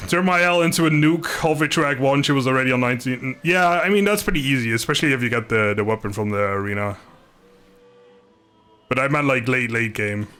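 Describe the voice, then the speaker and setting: A man's voice: medium pitch, a little fast, bright, forceful tone, clear, resonant tone, soft background music. A man doing casual live game commentary, chatting informally with viewers, joking and swearing mildly. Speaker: game streamer.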